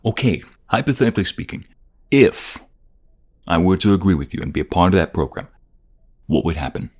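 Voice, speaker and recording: A man playing a protective ex-mobster in an audio roleplay drama, husky and breathy, defiant with others and tender with his fiancée. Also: low voice, very animated, brisk, darkish tone, exaggerated voice. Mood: guarded. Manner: intense, protective.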